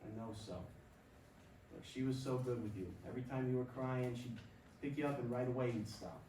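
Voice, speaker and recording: A man's voice: mid-range voice, fairly even intonation, brisk, dark tone, close to an everyday voice. A man playing a supportive, plain-spoken middle-aged father in a staged play reading. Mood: subdued, tender. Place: stage in a theatre hall. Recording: distant, echoey stage recording, faint noise.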